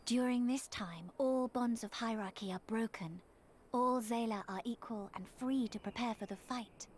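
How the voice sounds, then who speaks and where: A woman voicing a gentle, wise young tribeswoman guide in a fantasy game, explaining things in a measured, solemn storytelling manner. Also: on the high side, a little hiss or hum, voice pushed up, lightly acted. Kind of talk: fantasy game character dialogue read aloud.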